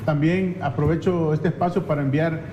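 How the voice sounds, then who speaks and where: A man's voice: a little high, steady tone, normal speed, highs cut off. A Salvadoran man speaking as a legislator in a political interview, explaining earnestly and often repeating phrases as he goes. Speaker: legislator, talk show guest. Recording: faint background noise.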